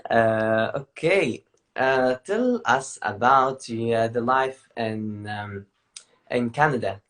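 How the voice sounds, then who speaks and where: Man, Emirati accent, interview host, slow, halting, filler-heavy. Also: mid-range voice, fairly bright tone. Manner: halting, many filler pauses. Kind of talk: live interview show.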